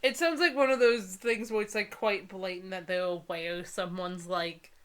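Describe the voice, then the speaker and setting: A woman's voice: medium pitch, moderate pace, clean tone. Giggly, sarcastic young-sounding woman bantering. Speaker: co-host of a comedy book-reading video. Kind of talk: casual banter and mock dramatic book reading.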